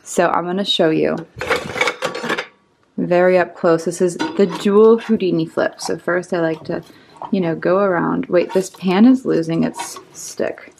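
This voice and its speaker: A woman's voice: fairly low pitch, fairly even intonation, measured pace, creaky, roomy sound, background noise. A young woman in her twenties chatting casually through a home cooking vlog, hungover, giggly and full of likes and ums. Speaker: cooking vlogger. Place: home kitchen and dining room, indoors.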